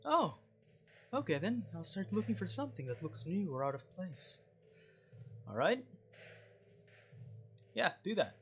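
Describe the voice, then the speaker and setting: A man's voice: medium pitch, fairly bright tone, lo-fi sound, slight background noise. A man doing casual, rambling let's-play commentary, reading game dialogue aloud and adding jokey asides. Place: at home.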